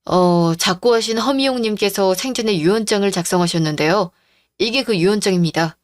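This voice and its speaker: A formal male lawyer in a radio drama, voiced by a woman lowering her pitch. He speaks in a polite, measured, businesslike way, and the recording is dry. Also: dry recording, close to an everyday voice.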